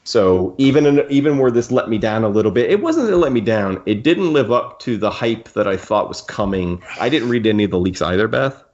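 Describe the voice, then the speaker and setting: A man's voice: lowish voice, lively intonation, a little fast, hardly any pauses, a little mellow, highs cut off. A man co-hosting a podcast in a casual fan discussion, rambling and interrupting himself as he goes. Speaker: podcast co-host.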